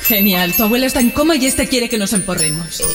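A woman's voice: on the high side, animated, quick pace, faint music behind, lightly acted. A woman playing a pedantic, wry and cautious companion in an audio drama. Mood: sarcastic.

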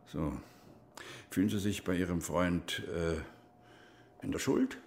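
A man's voice: deep voice, slow, a little mellow, slight background noise. An actor playing a stern, agitated older army major and father in a radio crime drama. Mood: probing. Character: stern, agitated East German army major, father, recounting his past.

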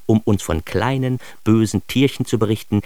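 A man's voice: lowish voice, moderate pace, warm tone, no room sound, close to an everyday voice. An actor playing an ironic, self-important man who narrates his childhood in a radio drama, with wry, mock-grandiose emphasis. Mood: wry admiration. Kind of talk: scripted first-person radio drama narration.

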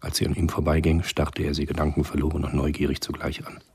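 An actor as a grave, detached storyteller, giving measured literary narration in a radio drama. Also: male voice, completely flat delivery, a little mellow, exaggerated voice. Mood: reflective. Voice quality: very deep, very husky. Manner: measured, understated.

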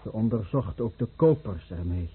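A man's voice: fairly low pitch, animated, moderate pace, close to an everyday voice. An actor playing a bitter village fisherman sick from radiation, declaiming short stark statements in a solemn radio play. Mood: grave testimony. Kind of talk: solemn radio drama chorus acting. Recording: muffled noisy old radio play recording.

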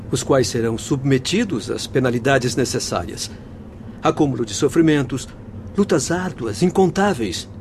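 A man's voice: mid-range voice, darkish tone, slight background noise, close to an everyday voice. A man acting in a radio drama as an earnest, grave companion spirit with a formal, solemn delivery.

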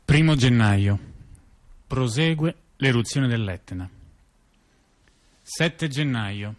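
Man in deliberate theatrical dialogue. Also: medium pitch, expressive. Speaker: stage performer.

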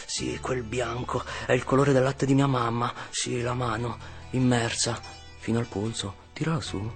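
A man's voice: medium pitch, flat delivery, moderate pace, faint music behind, lightly acted. An actor playing an Italian man who relives his 1980s childhood in a fragmented, vivid radio monologue. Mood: brooding, absorbed. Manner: fragmented, repetitive, vivid present-tense storytelling. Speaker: actor and narrator. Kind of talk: dramatic first-person radio monologue.

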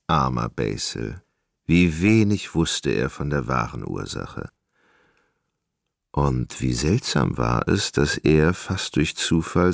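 A man narrating a literary radio drama in a measured, grave manner. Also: low voice, creaky, no room sound, clean studio sound.

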